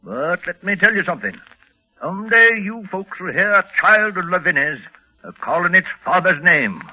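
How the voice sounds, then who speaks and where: A man's voice: high-pitched, very animated, moderate pace, sharp tone, heavily acted. An actor playing a sly, boastful old New England country grandfather in a folksy, cryptic 1940s radio drama manner. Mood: ominous, prophetic. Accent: rural New England. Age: older. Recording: dull vintage 1940s radio recording.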